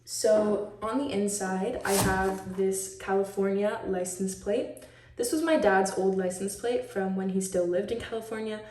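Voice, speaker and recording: A woman's voice: medium pitch, measured pace, a little mellow, a little hiss or hum. Talkative teen girl showing off her identity box, bubbly and chatty, sounds a bit far from the mic in an echoey room. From Switzerland. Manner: chatty and upbeat, talks a lot. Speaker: student presenting a school project. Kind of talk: show-and-tell presentation. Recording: roomy echo, mic at a distance.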